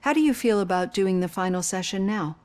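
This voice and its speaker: An actress playing a psychologist in her fifties who asks gentle, probing questions. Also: medium pitch, expressive, a little fast, lightly acted. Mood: earnest. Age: 50s. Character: female psychologist in her fifties, caring and composed.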